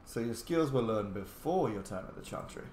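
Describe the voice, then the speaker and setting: A man casually commenting on a role-playing game and reading dialogue choices aloud, with animated, jokey asides. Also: mid-range voice, a little fast. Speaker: gaming host, role-player. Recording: echoey room, faint background noise.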